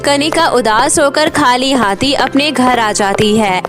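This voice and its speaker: A woman's voice: high-pitched, slow pace, music in the background. A woman narrator reading a scripted cartoon moral story.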